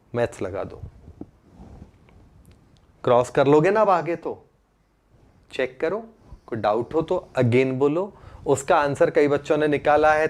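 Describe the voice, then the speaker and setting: A man with an Indian accent and a slightly high voice teaches a live online class. He speaks informally as a teacher, slipping English terms into his explanations and repeatedly checking that students understand. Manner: mixes English terms into Hindi. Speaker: chemistry teacher.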